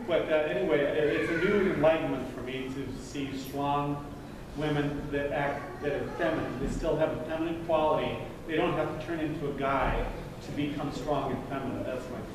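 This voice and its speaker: An older man speaks from the audience, far from the microphone, in a very echoey room. He talks earnestly and haltingly, circling back and repeating himself. Background noise runs under his slightly high voice. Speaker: audience member. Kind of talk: audience question, rambling.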